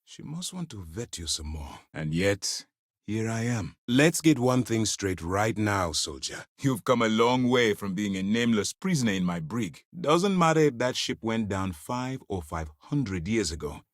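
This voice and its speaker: A low, commanding voice as a principled space-fleet officer in a video game. His formal, measured authority moves through broad, animated swings of pitch. The sound is dry and close, and he comes across as stern and firmly in charge. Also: no room sound. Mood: cool, appraising. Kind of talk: dramatic game character dialogue.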